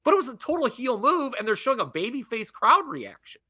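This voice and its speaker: A young adult man co-hosting a podcast, ranting with animated disbelief in casual chat. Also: extremely high pitch, fairly quick. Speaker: podcast co-host. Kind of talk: casual wrestling review chat.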